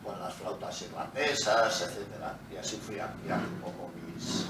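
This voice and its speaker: A middle-aged man from Pamplona, a musician answering interview questions in an unhurried, storytelling way, his voice fading quietly at the ends of phrases. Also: fairly low pitch. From Pamplona, Spain. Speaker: guest musician. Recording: roomy, echoey, from a distance, faint noise.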